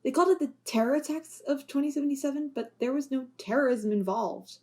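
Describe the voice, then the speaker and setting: A woman's voice: high-pitched, fast, darkish tone, lightly acted. A woman playing a former police officer, Riley, weighed down by guilt, with a wry, sarcastic way of talking. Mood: impassioned. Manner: wry, sarcastic, turning impassioned. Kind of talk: amateur audio drama acting.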